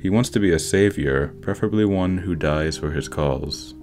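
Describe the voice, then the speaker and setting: Very deep-voiced male video essay narrator. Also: normal speed, a little mellow. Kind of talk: scripted film analysis narration. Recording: voice-over with background music. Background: instrumental music with plucked guitar.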